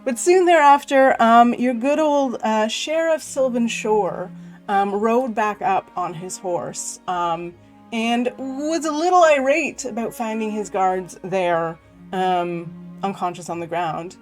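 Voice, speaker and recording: A woman's voice: a little high, slow pace, faint music behind. A woman running a tabletop game as game master, casual and laughing often with many ums while she recaps the story.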